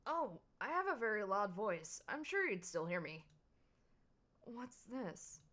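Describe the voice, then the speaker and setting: A woman streamer giving casual, excitable commentary while playing a game, swearing freely. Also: mid-range voice, measured pace. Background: faint background music.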